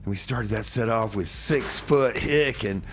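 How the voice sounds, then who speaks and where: A man's voice: lowish voice, unhurried. A man hosting a community radio music show, talking casually in a rambling, repetitive way with comic banter. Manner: rambling, repeats phrases, says "man" casually. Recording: noticeable background noise, ambience.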